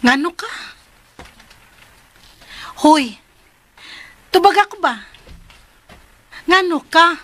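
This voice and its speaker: A woman's voice: very high voice, animated, very slow, long pauses, fairly bright tone, no echo, heavily acted. A woman playing a jealous, scheming and demanding live-in girlfriend in a radio drama, confrontational and pleading by turns. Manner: confrontational, insistent, emotionally pleading. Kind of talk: Philippine radio drama acting.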